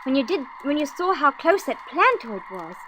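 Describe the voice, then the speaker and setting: Noisy old radio recording, high-pitched girl adventurer, anxious, earnest, excitable, theatrical. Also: a little fast. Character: anxious, excitable young girl space traveller. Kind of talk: old-time radio drama acting.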